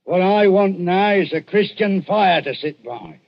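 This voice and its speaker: An actor playing a swaggering, bullying Cockney grave robber in a blustering 1940s radio drama style. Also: male voice, sits high, lively intonation, unhurried. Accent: English Cockney. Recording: muffled 1940s radio broadcast, faint music.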